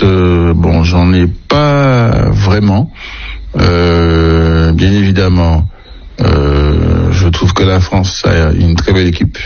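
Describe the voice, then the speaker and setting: A man giving his opinion casually and hesitantly, often pausing to find his words. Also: sits low, gravelly, darkish tone. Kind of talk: casual opinion, answering a question. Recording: dull lo-fi sound.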